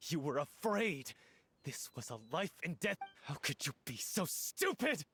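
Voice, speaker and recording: A man's voice: fairly high pitch, very animated, a little slow, a bit bright. A man voicing an earnest, hot-headed young theatre performer in a video game, intense, declamatory and confrontational, with faint noise. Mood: outraged.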